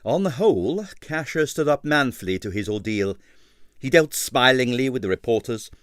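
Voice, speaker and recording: A man reading an abridged novel aloud with expressive narration. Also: medium pitch, moderate pace, dry recording, clean studio sound. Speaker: audiobook reader.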